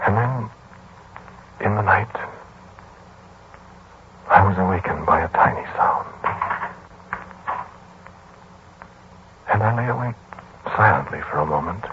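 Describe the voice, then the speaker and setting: An actor playing a brooding mathematician lodger, narrating a haunting mystery in 1940s radio drama style with hesitant, ruminative pauses. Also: male voice, lowish voice, lively intonation, natural voice. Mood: hushed suspense. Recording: muffled, noisy 1940s radio broadcast.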